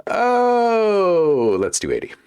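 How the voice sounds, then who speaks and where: A man's voice: sits high, huge pitch swings, drawn-out words, darkish tone, a little hiss or hum. A man hosting a casual livestream while making beats, chatting with viewers and thinking aloud as he works. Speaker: livestream host and music producer.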